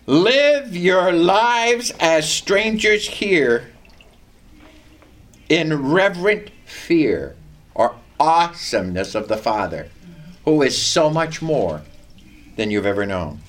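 An older man preaching a sermon with a loud, carrying voice, reading scripture and telling a personal story with animation. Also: a little high, very animated, slow.

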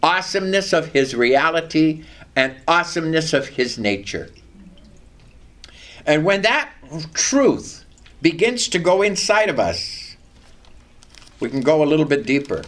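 An older man preaching with a loud voice that carries and a lively, swooping delivery. He talks slowly and deliberately, moving between scripture readings and personal stories. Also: on the high side. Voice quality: loud, carrying voice. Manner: animated storytelling, reads scripture aloud. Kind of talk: sermon with personal testimony.

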